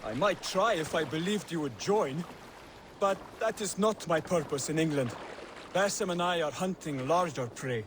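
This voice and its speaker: A man playing an earnest, devout young assassin scholar in a video game, speaking in a measured, formal, instructive way. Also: on the high side, background noise, natural voice. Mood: grave, persuasive. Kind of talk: video game cutscene acting.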